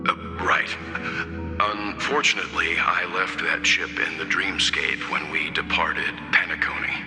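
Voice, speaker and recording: A man voicing a grave, measured older gentleman in a video game. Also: a bass voice, steady tone. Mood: regretful. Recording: echoing reverb, music behind.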